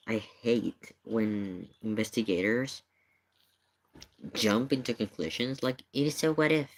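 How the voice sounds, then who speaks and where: A man giving casual live commentary in an emphatic, questioning way, often repeating his points. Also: on the low side. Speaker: streamer reacting to paranormal investigation video.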